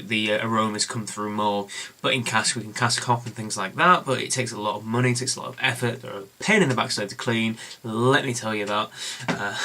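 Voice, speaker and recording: Young man, beer review vlog, bright forceful tone, eager rambling delivery, slightly hoarse, home room with books. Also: mid-range voice. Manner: enthusiastic, rambling, many fillers and restarts.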